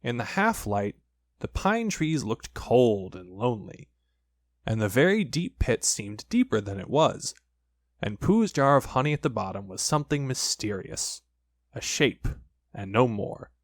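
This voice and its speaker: Man reading a kids story, expressive and measured, medium pitch that moves a lot, very dry sound. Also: no room sound. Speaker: audiobook narrator. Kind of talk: scripted children's story narration.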